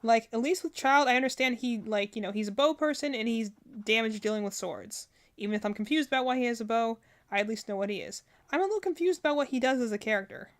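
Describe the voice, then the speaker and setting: Female reaction streamer, slightly high-pitched, casual and opinionated, rambles as she thinks out loud. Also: fast talker, few pauses. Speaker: streamer reacting to game character trailers.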